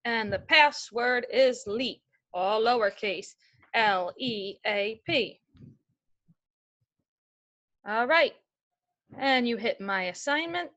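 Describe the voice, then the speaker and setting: A woman's voice: a little high, animated, slow, pauses often, fairly bright tone. A woman teaching a remote class of young children, reading a picture book aloud and giving patient, step-by-step instructions. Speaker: elementary school teacher.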